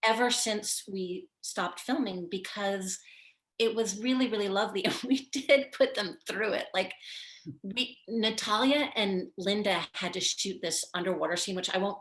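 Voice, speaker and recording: A middle-aged woman, a film producer, chatting quickly with laughing asides on a video call from her living room. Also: mid-range voice, a bit bright, echoey room. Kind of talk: casual conversation. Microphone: video call, far camera.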